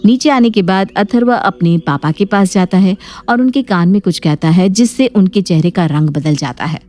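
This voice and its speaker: A woman's voice: mid-range voice, moderate pace, warm tone. A woman reading scripted story narration. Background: background music.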